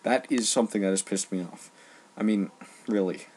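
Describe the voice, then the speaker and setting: Male, lowish voice, informal online ranter, emphatic and halting. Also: darkish tone, breathy edge. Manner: emphatic, halting delivery with repeated phrases. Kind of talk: informal opinion rant.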